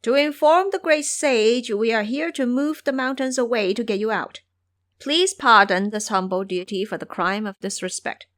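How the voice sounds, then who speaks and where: A woman's voice: on the high side, normal speed, a bit bright, dry recording, clean studio sound, pitched above the performer's own voice, natural voice. A woman voicing a timid, humble minor earth god with a flustered, pleading manner in an audio drama. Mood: deferential.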